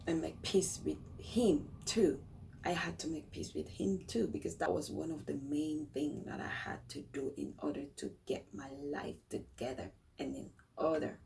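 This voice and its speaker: A woman with a hoarse, croaky voice talks slowly and thoughtfully about her own life, sometimes sinking to a near whisper. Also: sits low, distant mic, a little hiss or hum, rough recording. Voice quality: croaky, gravelly voice. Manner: slow, thoughtful, often drops to a soft whisper. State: hoarse after long studio hours. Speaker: vlogger sharing a personal story. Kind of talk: reflective personal talk to camera.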